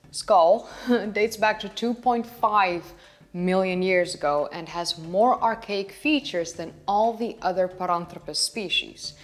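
A young woman in her twenties with a Dutch accent, chattily explaining history to camera as a channel host in her living room. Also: medium pitch. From Netherlands. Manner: chatty, explaining with hand gestures. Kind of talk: educational video talk to camera.

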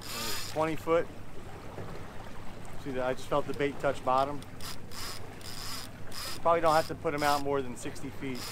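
A man in his forties casually showing how he rigs and sets fishing lines, relaxed and folksy, recorded on an open boat. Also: medium pitch, moderate pace, long pauses. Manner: relaxed, folksy, explains while working the rods. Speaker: fishing channel host. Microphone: action camera mounted on the boat. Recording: noisy outdoor boat recording. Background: loud wind, engine and water noise.

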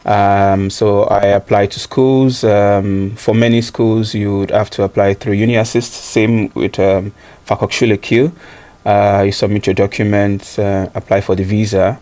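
This is a man in his thirties, giving a relaxed interview as a student guest. His voice sits on the low side, and he thinks aloud with frequent ums and an easy laugh. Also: a little slow. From Nigeria. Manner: thoughtful, many ums and uhs, laughs easily. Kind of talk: casual interview answers.

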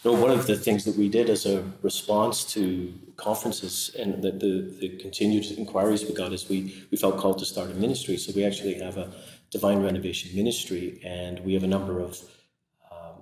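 A man's voice: low-pitched, level tone, a little fast, a little mellow, a little hiss or hum. A middle-aged male priest talking earnestly in an interview, often repeating words as he starts a phrase. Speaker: priest and author. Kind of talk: interview conversation. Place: sitting room with large windows. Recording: roomy, from far off.

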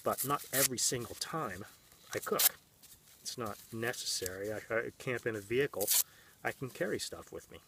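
Casual man in his thirties, outdoors, chatty and meandering, medium pitch, picked up from a few feet off with light background noise. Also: moderate pace, far from the mic. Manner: rambling, conversational, many fillers and restarts. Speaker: vlogger. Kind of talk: casual how-to demonstration. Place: outdoors in open desert. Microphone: camera mic, on-camera and off-frame.

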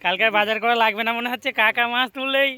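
A man talks quickly in a high, piercingly bright voice. He gives excited, casual running commentary out in the open, addressing his viewers directly like a vlogger. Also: smooth. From West Bengal, India. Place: outdoors beside a pond.

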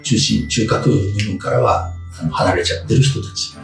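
A man in his sixties explaining as a guest expert in an informal interview, in halting fragments full of fillers. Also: lowish voice, vocal fry. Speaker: guest expert on samurai history. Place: small indoor room. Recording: roomy, distant mic, background guitar music. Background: guitar music.

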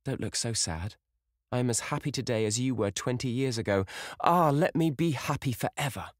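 A man's voice: medium pitch, moderate pace, dry recording, studio quality, voice pushed up, close to an everyday voice. A man voicing a young girl in love, eager, proud and pleading, in a breathless way. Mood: yearning.

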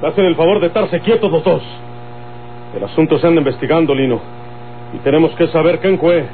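An actor playing a grave, measured bandit leader with a Mexican accent in an old radio drama. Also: male voice, on the high side, phone-quality sound, natural voice. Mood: firm, commanding. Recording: thin old radio recording with mains hum.

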